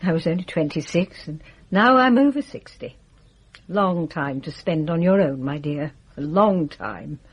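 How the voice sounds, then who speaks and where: An actress playing a proud, ailing English widow in her sixties, husky and reflective, in a radio drama. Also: low-pitched, animated, a little slow, heavily acted. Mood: wistful. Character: proud, ailing widow over sixty, affectionate but regretful. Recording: dull, muffled radio recording.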